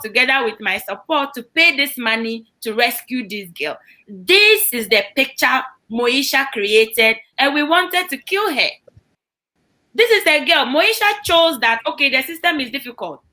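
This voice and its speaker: A woman guest in a studio interview, telling stories with passion and acting out what others said. Her voice is high and bright. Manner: impassioned storytelling, quoting others. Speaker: founder of a girls' advocacy group.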